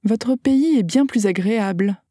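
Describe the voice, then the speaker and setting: Woman reading phrases, mellow dry voice. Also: on the high side, measured pace, dry recording. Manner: carefully enunciated repeated phrases. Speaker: language lesson speaker. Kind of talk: slow scripted phrase reading.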